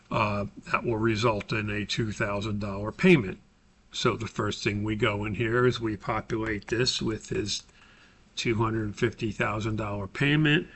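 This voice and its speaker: Bright-voiced American man teaching a tutorial. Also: lowish voice. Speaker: course instructor recording a tutorial for his class. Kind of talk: informal screen-recorded software tutorial, explanatory.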